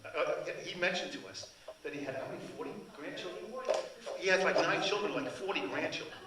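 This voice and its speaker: A man's voice: on the high side, fairly quick, a crowd in the background. An older man, a translator whose first language is Sicilian, telling rambling anecdotes with many restarts at a book event. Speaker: translator and author. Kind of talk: storytelling talk at a book event. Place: event hall. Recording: echoey hall, mic from far.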